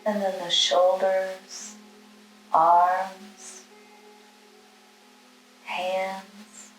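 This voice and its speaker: A woman leading a guided meditation, giving soothing, gentle instructions in short, spaced phrases. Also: mid-range voice, monotone, drawn-out words. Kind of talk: guided meditation, spoken slowly with long pauses. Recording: roomy, distant mic, music underneath.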